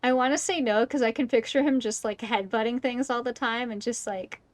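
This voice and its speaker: High-voiced woman streaming a game playfully. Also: a little fast, no room sound. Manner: playful, joking, reacting aloud. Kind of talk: casual live-stream commentary. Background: game music.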